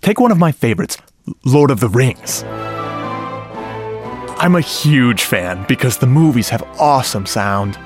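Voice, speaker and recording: Male actor playing an audio-geek sound man, radio drama, mid-pitched, casual and eager explainer over music. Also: expressive, unhurried, long pauses, close to an everyday voice. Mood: enthusiastic, explaining. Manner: casual, explanatory, rhetorical questions to listener. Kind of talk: conversational radio drama monologue.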